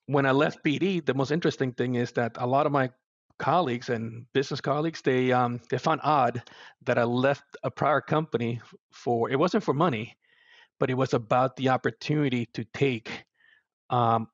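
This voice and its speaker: A middle-aged man with a Puerto Rican accent talks as a podcast guest, earnest and reflective, often restarting his sentences. His voice sits at an ordinary pitch. The audio is dry and slightly muffled. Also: steady tone, moderate pace, dry recording. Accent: Puerto Rican Spanish. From Puerto Rico. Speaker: guest, service executive. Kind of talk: podcast interview.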